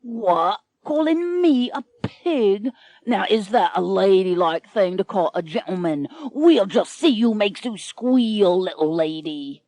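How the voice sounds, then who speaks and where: A woman reads as a leering one-eyed pirate captain, a man who drags out his threats slowly and loudly. His voice sits a little higher than her usual narration. The recording is dry and close. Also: lively intonation, no room sound. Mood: menacing.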